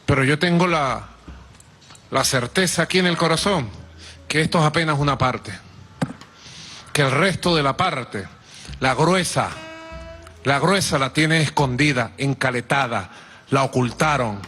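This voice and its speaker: A man's voice: medium pitch, pushed and bright, husky, faint music behind. A man giving a political speech as a leader, repeating key words for emphasis. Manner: emphatic, deliberate repetition.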